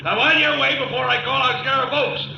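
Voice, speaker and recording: High, creaky, grumpy country storekeeper. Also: male voice, steady tone, a little fast, full character voice. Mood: suspicious, scolding. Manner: curt, folksy, dismissive. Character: grumpy, suspicious rural roadside-stand keeper, a married man. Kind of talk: 1940s radio acting. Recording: noisy, muffled, roomy vintage radio recording.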